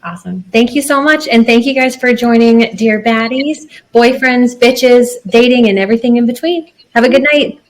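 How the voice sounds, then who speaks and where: A woman's voice: a little high, normal speed, few pauses. A woman hosting a podcast, thanking her guest in an upbeat, friendly way. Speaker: podcast host. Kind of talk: casual podcast sign-off.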